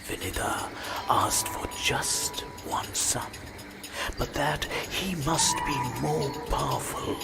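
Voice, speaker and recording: An ancient, serene god tells myths for a video game. The voice is a slow, breathy whisper that rises and falls expressively, solemn and measured, over loud music. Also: male voice, mid-range voice. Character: ancient serene male god narrating mythic tales. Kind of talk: scripted mythic narration in a video game.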